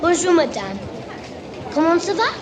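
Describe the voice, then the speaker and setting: A woman voicing an excitable, wide-eyed young boy in an amateur radio play, gleeful and full of exclamations. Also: very high voice, normal speed, crowd noise. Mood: cheerful. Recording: noisy, echoey home recording.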